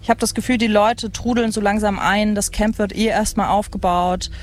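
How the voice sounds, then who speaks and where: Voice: medium pitch, fairly even intonation, moderate pace. A host in their thirties chatting casually live on radio from a festival, enthusiastic and often searching for words. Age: 30s. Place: open lounge area at a festival site. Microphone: broadcast microphone.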